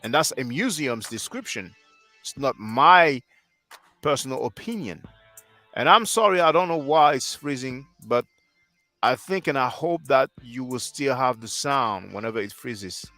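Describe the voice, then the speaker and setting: A man's voice: mid-range voice, lively intonation, a little slow, bright, forceful tone, no echo, faint music behind. A man in his thirties whose first language is French, hosting a livestream and lecturing earnestly while answering chat on a headset mic. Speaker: livestream host.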